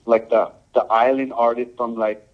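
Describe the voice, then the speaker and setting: Laid-back male musician from Samoa and Hawaii, mid-range voice, halting answers, dull lo-fi sound. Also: mid-range voice, flat delivery. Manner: laid-back, halting, full of "um" and "you know". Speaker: reggae musician guest. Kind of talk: casual interview answers.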